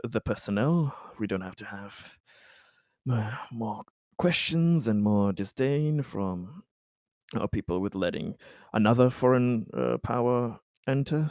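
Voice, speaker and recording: A man with a low voice plays a tabletop roleplaying game in a formal, halting way, often doubling back on his words. The sound is close and dry. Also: darkish tone, no echo. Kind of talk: in-character tabletop roleplay discussion.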